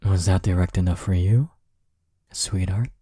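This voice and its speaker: Deep-voiced, cocky flirtatious college rival acted by a man. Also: fairly even intonation, unhurried, slightly rough, dry recording, clean studio sound. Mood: smug, flirtatious. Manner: smug, flirtatious, drawling teasing with soft chuckles. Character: cocky, teasing male college rival smitten with listener. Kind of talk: intimate romantic audio roleplay.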